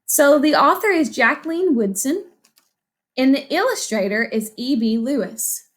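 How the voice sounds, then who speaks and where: A woman's voice: high voice, animated, unhurried. A woman teacher reading a picture book aloud to children, explaining as she goes and asking questions that she then answers. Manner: instructive, asks questions and answers them. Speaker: elementary school teacher.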